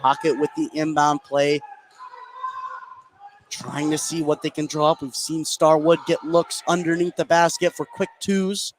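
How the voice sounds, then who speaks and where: Male radio play-by-play commentator, slightly high-pitched, tracking action in short phrases; noisy live gym broadcast. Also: little pitch movement, unhurried.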